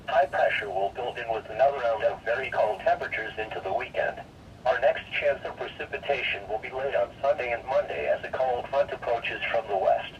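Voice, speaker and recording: A male weather radio announcer with a synthesized, formal and impersonal delivery, reading a scripted forecast over the radio. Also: mid-range voice. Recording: noisy, distant-sounding weather radio broadcast.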